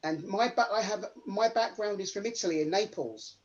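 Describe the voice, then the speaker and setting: A man speaking as an actor in an informal, heartfelt panel Q&A, effusive and halting as he repeats words. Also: high voice. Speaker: actor in a post-show Q&A. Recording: dull, lo-fi sound.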